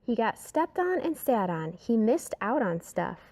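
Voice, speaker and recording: Female storybook reader, high voice, slow measured pace, expressive, dramatic. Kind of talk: expressive rhyming storybook read-aloud.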